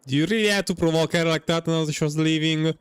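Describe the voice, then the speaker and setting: A man's voice: on the high side, steady tone, fast, clear, resonant tone, no room sound. A man who streams games, giving casual commentary in muttered, offhand reactions. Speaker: game streamer.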